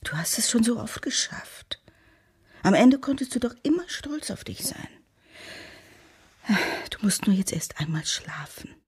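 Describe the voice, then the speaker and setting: A woman acting a gentle mother at a bedside in a radio play, breathy and whispery. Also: fairly high pitch, sing-song, measured pace, pauses often. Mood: soothing, tender. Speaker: actor. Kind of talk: hushed radio drama acting.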